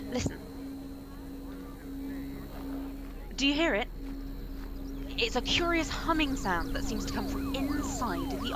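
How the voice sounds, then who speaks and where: A woman playing an excitable female news reporter giving halting, dramatic eyewitness commentary in a radio play. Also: a little high. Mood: excited, curious. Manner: breathless, halting, stammering with alarm. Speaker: actor in a live radio play. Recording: noisy live stream with loud ambience.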